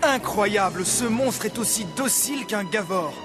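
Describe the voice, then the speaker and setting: An actor playing an anxious, excitable young hero in a French-language cartoon dub, urgent and exclamatory. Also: male voice, sits high, unhurried. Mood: amazed. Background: cartoon soundtrack music.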